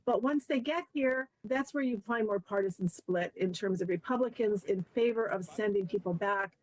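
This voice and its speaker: A woman polling analyst giving measured, explanatory interview answers, pausing with fillers. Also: medium pitch, poor audio.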